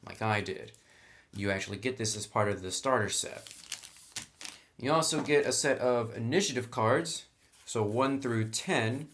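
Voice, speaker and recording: A man talks through the contents of a tabletop game box in a relaxed, plainspoken review, speaking at an ordinary middle pitch. He is recorded indoors by a camera on a tripod. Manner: relaxed, plainspoken, says "actually" often. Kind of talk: casual product review, unboxing. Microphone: camera mic on a tripod.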